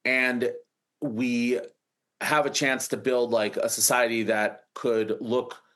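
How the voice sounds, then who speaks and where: A man's voice: medium pitch, little pitch movement, slow pace, fairly bright tone. An American man in his forties, a startup founder telling his story in an interview, rambling with many restarts and fillers. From United States.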